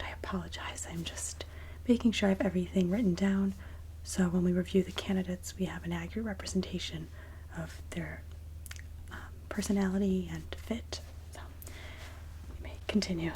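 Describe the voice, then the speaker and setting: A woman speaking softly and breathily, often near a whisper, in a gentle, polite ASMR roleplay as a kindly Mrs. Claus. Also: on the low side, slight background noise. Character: kindly Mrs. Claus interviewing a job applicant. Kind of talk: soft-spoken ASMR roleplay interview.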